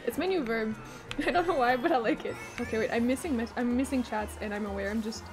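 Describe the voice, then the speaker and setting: A young woman with a French Canadian accent, whose first language is French, chatting casually and excitably while streaming a game, swearing freely and humming to herself. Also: a little high, quick pace. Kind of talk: casual live-stream chat while gaming. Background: faint game music.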